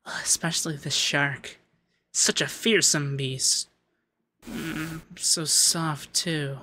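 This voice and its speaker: A man voicing a haughty young femboy demon lord, pompous but easily flustered and secretly soft, in an ASMR roleplay. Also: on the high side, steady tone, slow, slight background noise, heavily acted. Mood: soft, content. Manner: pompous commands that slip into flustered cooing.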